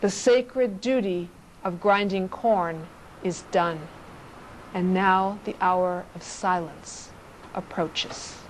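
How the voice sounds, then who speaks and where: A woman gives a poetry reading at a slow, measured pace. Her pitch sits in the middle range and her phrasing is short and reflective. Light ambient noise runs behind her voice. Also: rough recording. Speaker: poet reading own work.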